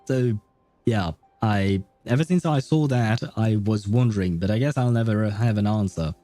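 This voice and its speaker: A man chats casually on a game livestream. His voice is mellow and close, with little room sound, and he takes his time, hesitating and rambling as he goes. Music plays softly behind him. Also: fairly low pitch, normal speed, no room sound. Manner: casual swearing.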